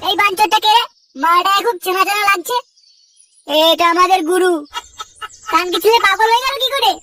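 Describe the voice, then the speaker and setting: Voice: very high voice, brisk, pushed and bright, far from the mic, soft background music. A performer voicing a foul-mouthed, mocking young cartoon man, in exaggerated comedy dialogue. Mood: exasperated. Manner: crude, taunting, slangy.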